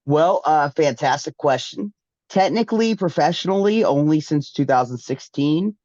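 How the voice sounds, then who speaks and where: A man's voice: a little high, animated, normal speed, a little mellow, dry recording. A man, congested from a recent flu. He rambles through his answers with plenty of ums and restarts. The sound is dull, lo-fi and dry. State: congested, getting over the flu. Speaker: guest wrestler. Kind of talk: casual interview answers.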